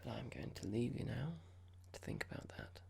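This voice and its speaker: A performer playing a cold, smug corporate boss in a whispered ASMR roleplay, speaking slowly and deliberately with breathy creak and mock politeness. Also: medium pitch, animated, dark tone, exaggerated voice. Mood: dismissive, condescending.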